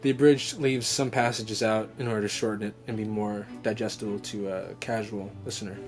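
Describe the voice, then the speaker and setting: A man's voice: on the low side, steady tone, fairly quick. A young adult man hosting a vlog, talking in a casual, unscripted, rambling way as an enthusiastic fan who often corrects himself. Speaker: vlog host. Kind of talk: casual unscripted unboxing chat. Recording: music playing behind the voice. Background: background music.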